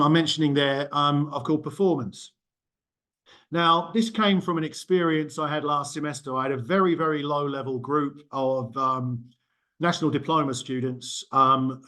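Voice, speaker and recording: A man's voice: on the high side, fairly bright tone. A middle-aged man lecturing in a casual group discussion, talking loosely with frequent ums and self-corrections. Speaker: university lecturer. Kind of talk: small group discussion talk.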